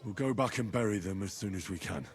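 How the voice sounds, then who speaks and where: A man's voice: fairly low pitch, fast talker, lightly acted. An actor playing an earnest young man who reassures his friend. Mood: determined. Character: earnest young peasant man, loyal and hopeful.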